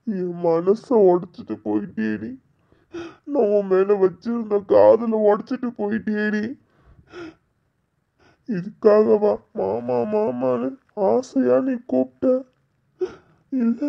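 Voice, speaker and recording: A man playing a heartbroken young man who pours out his grief slowly, his gravelly voice dragging over every word. He pleads and repeats himself with anguish, heard through a slightly dull, lo-fi recording. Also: sits high, full character voice. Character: heartbroken young man lamenting lost love. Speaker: heartbroken lover. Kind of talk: dramatic monologue.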